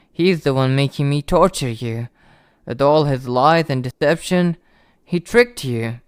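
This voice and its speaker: An obsessive young yandere played by a man speaks slowly and deliberately, in a smooth voice recorded dry and close. He is possessive and lovesick, pleading with a tender menace in an intimate ASMR roleplay. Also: fairly high pitch, no room sound.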